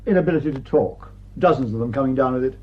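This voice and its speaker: Male actor as police superintendent, sardonic, insistent, husky, radio drama. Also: mid-range voice, fast talker, muffled recording, natural voice. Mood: dry, probing. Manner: wry, insistent questioning. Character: sardonic, probing police superintendent investigating a murder.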